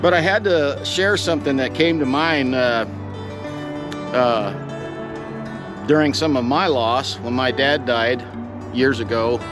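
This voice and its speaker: Middle aged guy with a medium pitched voice, folksy and sincere, telling a personal story, music behind him. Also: takes it slowly, long pauses. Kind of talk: reflective, personal storytelling to camera.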